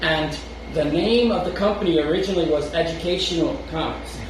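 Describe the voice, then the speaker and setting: A man's voice: a little high, a little fast, recorded from a distance. A man with a Brooklyn accent co-hosting a chat show, rambling casually with chuckles and restarts. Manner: rambling, restarts often, chuckles between thoughts. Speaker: podcast co-host. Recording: very echoey room, off-mic, noisy.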